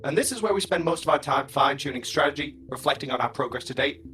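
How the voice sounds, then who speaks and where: A man's voice: mid-range voice, lively intonation, fast, bright, forceful tone. Casting: officious robot second-in-command played by a man, mid-range, fussy, formal, precise, faint music behind. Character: stiff, pedantic male robot officer. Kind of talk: scripted fan dub of a comic.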